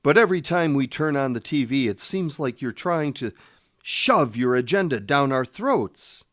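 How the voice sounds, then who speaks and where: A man's voice: medium pitch, lively intonation, normal speed, a bit bright, no room sound, studio quality, lightly acted. A man acting as a defensive, indignant conservative TV news pundit in a satirical radio drama.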